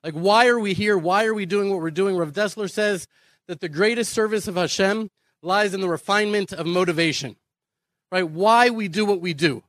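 A man's voice: high-pitched, normal speed, a bit bright, no echo. An American man from Houston giving an impassioned conference speech, earnest and emphatic, repeating phrases and posing rhetorical questions. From Houston, Texas, United States. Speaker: speaker advocating immigration to Israel.

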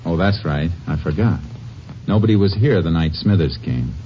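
A man's voice: low voice, expressive, normal speed, slight background noise. An American man playing a stern, wry Texas Ranger in a 1950s western radio drama, with a dry, terse delivery. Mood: dry, menacing. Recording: dull old 1950s radio recording, music.

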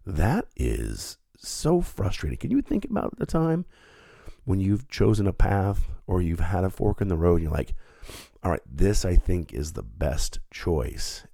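A man's voice: sits low, gravelly, warm tone, no echo, studio quality. A man hosting his own podcast, stuffed up with a head cold, talking in an earnest, reflective and conversational way. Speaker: podcast host. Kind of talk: solo podcast monologue.

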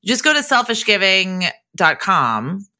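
A woman's voice: medium pitch, very animated, measured pace, sharp tone. An American woman, middle-aged, hosting a podcast in an upbeat, articulate and encouraging way. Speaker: podcast host.

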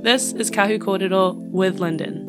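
A woman's voice: medium pitch, normal speed. Woman host reading a podcast intro over music. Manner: brief encouraging backchannels. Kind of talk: scripted podcast intro and casual chat.

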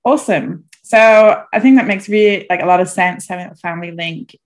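A woman's voice: lowish voice, fairly quick. A woman hosting an interview in a casual, chatty style. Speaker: show host, interviewer.

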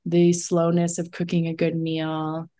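A woman with a slightly low voice talks as an astrologer guest in an easygoing interview. She is reflective and unhurried, and she often drops in a casual "like" between her thoughts. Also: monotone, warm tone.

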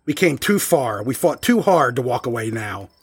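A restless young rock musician acted by a man in a horror radio play. His voice is husky and swings animatedly, informal and insistent, recorded dry with faint music. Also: medium pitch, sing-song, moderate pace, dry recording. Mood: agitated, pleading. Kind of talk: horror audio drama acting.

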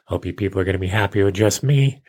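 A man of fifty hosting a casual, rambling solo show in a self-deprecating, joking way, with a husky voice. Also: low-pitched, fast talker, a little mellow. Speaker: podcast host.

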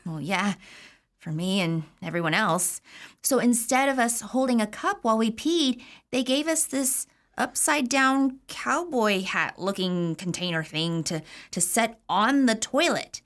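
A dry, matter-of-fact woman inmate, voiced by an actress tells what happened. Her voice sits slightly high. The delivery stays level and wry. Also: animated, measured pace, fairly bright tone.

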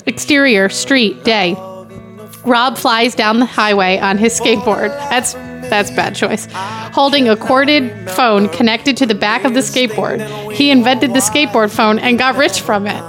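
High voiced lady on a comedy podcast, casual, reading script bits, faint music. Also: unhurried, low-quality recording. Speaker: podcast guest reading stage directions. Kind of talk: casual banter and script read-through.

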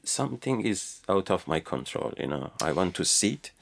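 A middle-aged man, a Farsi speaker, telling his own story in a halting way with many fillers and restarts. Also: sits low, very animated, creaky. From Iran. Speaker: pastor and teacher, guest.

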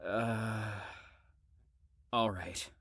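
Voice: mid-range voice, fairly even intonation, drawn-out words, fairly bright tone, slight background noise. An actor in an audio drama playing a stubborn, sarcastic young patient who is worn out from lack of sleep. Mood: sarcastic, evasive. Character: flippant, exhausted, stubborn young patient called Storm.

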